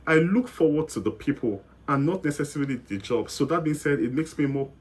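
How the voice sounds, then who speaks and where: A man's voice: a little high, animated, a little fast, slightly rough. Nigerian man talking in loose rambles. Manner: rambling, repeats words and self-corrects. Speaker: guest. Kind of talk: casual interview chat.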